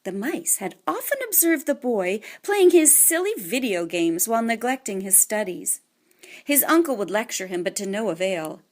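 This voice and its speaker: A woman narrating a children's audiobook in an expressive, scripted storytelling manner. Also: mid-range voice, normal speed.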